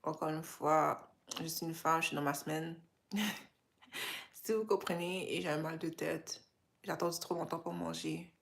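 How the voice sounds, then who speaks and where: A woman's voice: low-pitched, normal speed, gravelly, pauses often. A young woman from Canada with a Québécois French accent, sounding tired and chatting casually with viewers on a livestream, slipping in English phrases. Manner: relaxed, mixes in English phrases. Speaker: livestream host.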